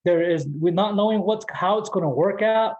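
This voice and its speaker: A man's voice: high voice, normal speed, a little mellow, highs cut off. A man from the Navajo Nation in New Mexico, giving a casual motivational talk as guest speaker, joking and laughing often.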